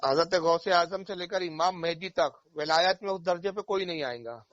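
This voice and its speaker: Male cleric, high voice, emphatic explanatory storytelling, repeated key phrases, dull phone call recording. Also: normal speed, fairly bright tone. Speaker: Islamic cleric.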